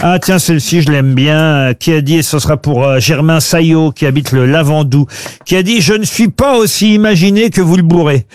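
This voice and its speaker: A man's voice: fairly high pitch, fairly quick, darkish tone, no room sound. A man hosting a radio panel quiz, reading out quotes and teasing the panelists in playful banter. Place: radio studio with live audience.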